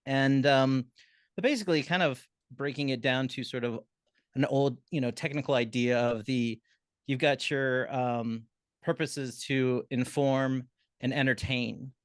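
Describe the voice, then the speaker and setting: A man's voice: mid-range voice, fairly even intonation, a little slow, no echo, clean studio sound. A man giving a reflective, tentative answer as a panelist, piecing his thoughts together as he goes.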